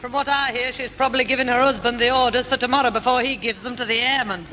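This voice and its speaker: A woman playing a sharp-tongued mother with an English accent in a 1960s radio comedy. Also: a little high, fairly even intonation, brisk, highs cut off. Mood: chatty, catty. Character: exasperated, sharp-tongued but sociable mother of a schoolboy. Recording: hissy, echoey 1960s radio recording.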